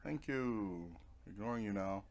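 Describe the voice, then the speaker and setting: A man's voice: fairly low pitch, lively intonation, a little slow, darkish tone. A man giving casual let's play commentary as a gaming video host, laid-back and muttering asides to himself.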